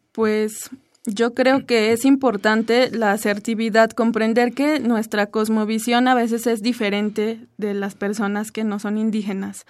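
A woman whose first language is Zapotec, speaking calmly and thoughtfully as a radio guest. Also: fairly high pitch, no room sound, clean studio sound. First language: Isthmus Zapotec. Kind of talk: radio interview.

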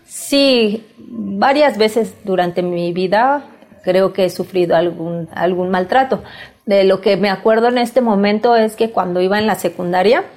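A woman's voice: mid-range voice, measured pace, a little mellow. A woman, answering interview questions in an earnest, reflective way. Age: 50s. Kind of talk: vox pop answers.

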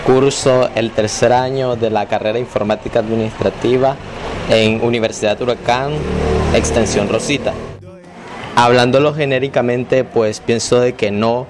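A man's voice: medium pitch, fairly even intonation, moderate pace, noisy background. A young man from Nicaragua, a university student, answering in a formal, careful way.

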